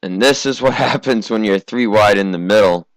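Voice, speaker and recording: A teenage American man from Massachusetts giving casual, conversational amateur racing commentary, his voice slightly hoarse from a cough. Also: lowish voice, moderate pace, no room sound, poor audio. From Massachusetts, United States. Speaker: race commentator.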